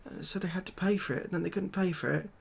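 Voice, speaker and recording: A British man doing a soft-spoken ASMR comedy roleplay as a glum, overwhelmed ex-builder, rambling along in a hesitant, deadpan way. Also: sits high, fast talker, gravelly, breathy edge. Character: glum, overwhelmed ex-builder made president of the world.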